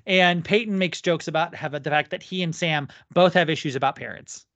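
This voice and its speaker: A woman's voice: sits low, brisk, a bit bright, no room sound. A woman co-hosting a podcast, chatting casually through a TV episode recap in an agreeable way. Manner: agreeable, frequent short affirmations. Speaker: podcast co-host.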